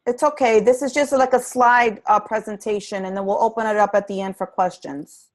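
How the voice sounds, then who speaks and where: A woman's voice: mid-range voice, steady tone, brisk. Earnest woman coaching new team members. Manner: earnest and encouraging, with frequent "um"s and restarts. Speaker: fitness business coach leading a team training call. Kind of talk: live conference-call training presentation. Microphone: conference call.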